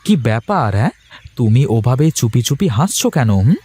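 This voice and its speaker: A man's voice: mid-range voice, very animated, normal speed, mellow, breathy edge. A man voicing an affectionate young cartoon husband and dutiful son, playful and earnest in his delivery. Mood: teasing. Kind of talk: cartoon voice acting.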